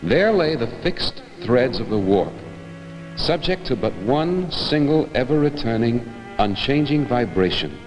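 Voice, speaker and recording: A man giving a measured, theatrical staged reading of literature, as an actor would in a 1960s broadcast. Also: medium pitch, animated. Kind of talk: dramatic literary recitation. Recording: hall reverb with music behind, 1960s broadcast. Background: music.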